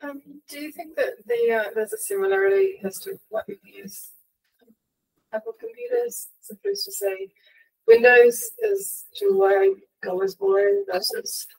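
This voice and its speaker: A woman's voice: extremely high pitch, expressive, measured pace, gravelly. A woman in an audience putting a casual question to a speaker. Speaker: audience member asking a question. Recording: distant pickup from the audience.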